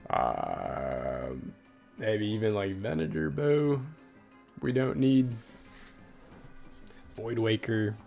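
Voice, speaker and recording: A man's voice: fairly low pitch, extremely slow, vocal fry, pauses often. A young man chatting casually on a game livestream, rambling and reading viewer messages aloud. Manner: relaxed, rambling, reads chat aloud. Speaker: gaming livestreamer. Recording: faint game music behind.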